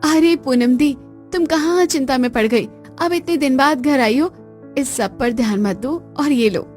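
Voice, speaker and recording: A woman voicing a young daughter-in-law in an animated story, light and reassuring. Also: high voice, moderate pace, soft background music, close to an everyday voice. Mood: cheerful.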